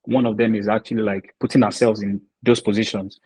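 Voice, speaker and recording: A man's voice: lowish voice, little pitch movement, fairly quick, darkish tone, breathy edge, no echo, rough recording. A man hosting a podcast, talking reflectively with lots of fillers and hesitations. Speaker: podcast host. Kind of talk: casual podcast interview.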